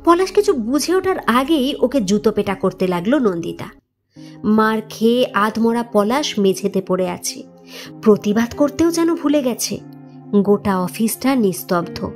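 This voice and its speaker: A woman reading a scripted story as narrator in an expressive, dramatic manner. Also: a little high. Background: background music.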